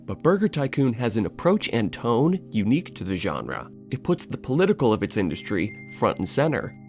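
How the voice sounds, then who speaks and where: Man narrating with lively, swooping pitch. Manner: wry, informal, dry humour. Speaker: video essayist and narrator. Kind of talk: scripted video essay narration with casual asides.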